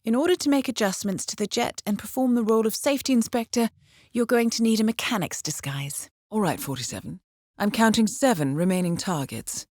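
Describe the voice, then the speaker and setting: An actress playing a coolly professional handler who briefs an assassin in a video game, speaking in a composed, formal with dry understatement. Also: female voice, mid-range voice, a little fast, darkish tone, no echo, natural voice. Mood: calm briefing.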